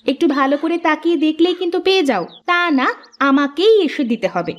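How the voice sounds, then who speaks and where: A woman playing a put-upon young daughter-in-law in a cartoon, expressive and sharp-tongued, prone to sarcastic complaint. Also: high voice, quick pace. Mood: aggrieved, sharp. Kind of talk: cartoon voice acting, fairy-tale drama.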